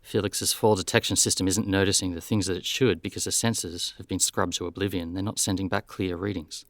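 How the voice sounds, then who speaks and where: Gravelly, low-voiced matter-of-fact detective, played by a man. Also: fairly even intonation, fairly quick, no room sound, studio quality. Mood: analytical. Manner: businesslike, plain-spoken. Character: brisk, matter-of-fact male detective investigating robot accidents. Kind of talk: scripted audio drama.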